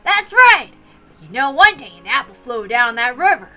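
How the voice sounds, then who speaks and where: A woman's voice: sits high, very animated, moderate pace, pushed and bright, soft background music, heavily acted. A woman voicing a jovial, teasing middle-aged uncle who tells riddles in a visual novel read-aloud. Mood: playful.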